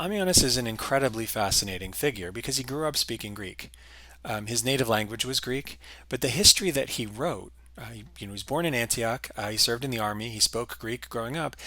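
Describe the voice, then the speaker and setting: A man's voice: mid-range voice, sharp tone, dry recording. A man giving a recorded history lecture, explaining patiently with some fillers and restarts.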